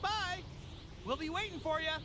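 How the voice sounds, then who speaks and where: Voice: very high voice, moderate pace, noisy background. An actor playing a cheerful, naive martial-arts hero in an anime video game, folksy, boyish and eager. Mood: eager, easygoing. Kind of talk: English anime game dub acting. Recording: music behind.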